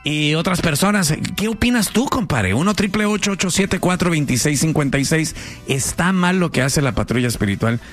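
A man's voice: on the high side, lively intonation, a little fast, soft background music. A man with a Mexican accent hosting talk radio in an informal, inquisitive, conversational way. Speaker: radio show host.

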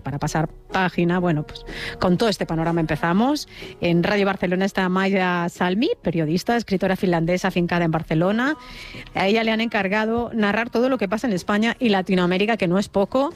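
Woman hosting radio, Spain accent. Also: on the low side. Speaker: radio host.

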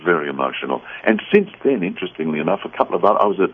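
Deep voiced aussie guy, husky, earnest radio guest talking over a thin phone-like line. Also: very animated, racing. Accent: Australian. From Australia. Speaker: radio talk show guest. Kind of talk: earnest interview conversation.

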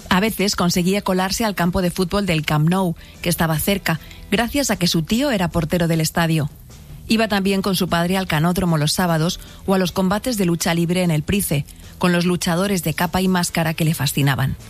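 A woman's voice: fairly low pitch, fairly even intonation, a little fast. A woman reads documentary narration. It is scripted storytelling, with light music behind her.

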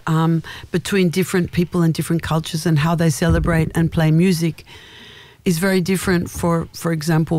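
A middle-aged woman with a naturally deep voice, a singer talking in a radio studio interview, thoughtful and halting, with many restarts. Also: a little mellow, no room sound. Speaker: guest singer.

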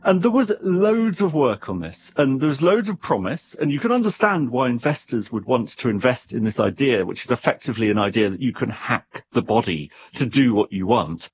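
A man speaking as an expert guest, explaining things conversationally in an animated, wry way, sometimes doubling back on his words. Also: medium pitch, a little fast, few pauses, husky, muffled recording.

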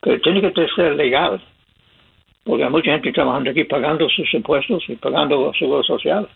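Raspy older man on a tinny line. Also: fairly high pitch, fairly quick. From California, USA. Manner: plain-spoken, laughs while telling stories. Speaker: immigration advice guest. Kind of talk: casual radio advice talk. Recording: tinny phone-line sound.

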